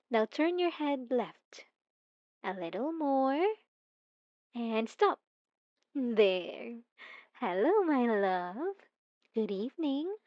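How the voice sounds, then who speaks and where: A woman's voice: high-pitched, no room sound, lo-fi sound, exaggerated voice. A woman voicing a possessive, obsessive female stalker in an intimate ASMR roleplay, coy and mocking, cooing with teasing menace. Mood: teasing, coaxing.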